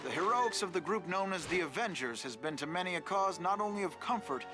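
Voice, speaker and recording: A man's voice: sits high, expressive, a little fast, music in the background. An actor playing a formal, earnest male television news reporter in a scripted game cutscene.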